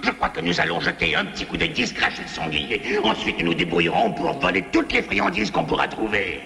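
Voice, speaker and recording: A man's voice: on the high side, sing-song, fairly quick, vocal fry, pushed and bright, reverberant, long reverb tail, heavily acted. A man voicing a greedy, scheming animal gang boss in a French cartoon, with theatrical, conniving delivery. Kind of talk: cartoon dub acting.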